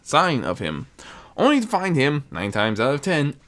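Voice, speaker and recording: A storyteller reading fiction aloud in an animated, swooping way, with occasional stumbles over the words. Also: medium pitch, moderate pace. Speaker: narrator. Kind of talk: scripted narration, fan fiction reading.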